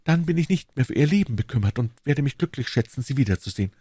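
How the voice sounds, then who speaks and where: Man voicing an anguished queen, husky and swooping. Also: mid-range voice, expressive, fast talker, dark tone, dry recording, clean studio sound, voice pushed up. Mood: imploring. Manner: imploring, agitated, regal. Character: anguished, torn queen, proud yet secretly in love.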